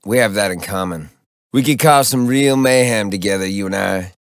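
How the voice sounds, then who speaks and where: An actor playing a mischievous, coaxing criminal in a video game, familiar in manner. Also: male voice, fairly low pitch, moderate pace, dry recording, clean studio sound, natural voice. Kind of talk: video game character dialogue.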